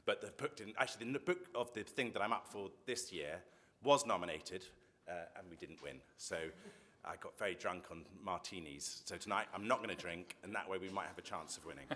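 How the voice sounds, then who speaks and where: A man's voice: low-pitched, brisk, pushed and bright. An English man, a TV writer on a convention panel, introducing himself with wry, self-deprecating jokes. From England.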